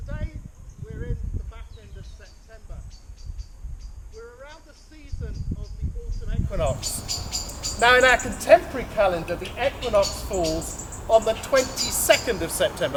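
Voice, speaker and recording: A man, a priest, giving a chatty, explaining talk to a gathering and laughing at his own jokes. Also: sits high. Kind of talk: informal address to a gathering. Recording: noisy outdoor ambience.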